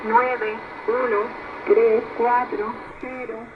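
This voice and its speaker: A woman announces digits one at a time in a flat, detached, mechanical manner. Her voice is on the high side and extremely slow. It comes through a tinny shortwave radio recording. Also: background noise. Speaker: numbers station announcer.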